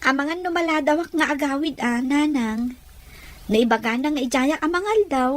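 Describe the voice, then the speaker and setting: A woman's voice: high-pitched, normal speed, close to an everyday voice. A woman playing a sweet, respectful young daughter in an Ilocano-accented audio drama. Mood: cheerful, respectful.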